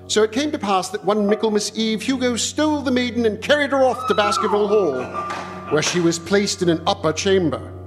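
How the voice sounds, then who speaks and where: An actor playing an earnest young country doctor who reads an old legend aloud with solemn, dramatic storytelling in a live radio play. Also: male voice, high-pitched, animated, fairly quick. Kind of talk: live stage radio-play acting, reading a tale aloud. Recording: live stage recording with music underscore.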